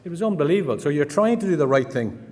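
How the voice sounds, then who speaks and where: A man's voice: a little high, sing-song, fast talker, a little mellow. Irish man speaking in a reverberant hall. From Ireland. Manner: chatty, frequent fillers and restarts. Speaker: conference speaker. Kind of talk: conference talk with anecdotes.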